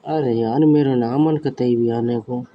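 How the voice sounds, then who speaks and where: A man's voice: medium pitch, steady tone, a little slow. A man chatting casually on the phone in a drowsy, laid-back manner. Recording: phone call with faint background noise.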